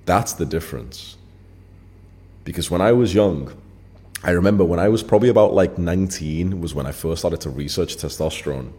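A young man in his twenties talks to his channel's audience in a deep, mellow voice. He is blunt and emphatic, and he swears casually. His speech runs on loose fillers in an off-the-cuff monologue. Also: brisk. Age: 20s. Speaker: channel host. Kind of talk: casual straight-to-camera monologue.

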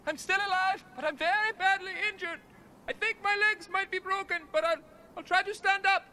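Politely calm injured man, very high. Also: a little fast, a little hiss or hum, full character voice. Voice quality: politely calm, matter-of-fact. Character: polite badly injured man fallen down a cliff.

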